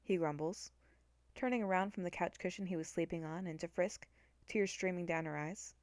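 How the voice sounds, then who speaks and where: A woman's voice: fairly low pitch, moderate pace, darkish tone, no room sound. A woman narrator reading a scripted story aloud as a dramatic reading.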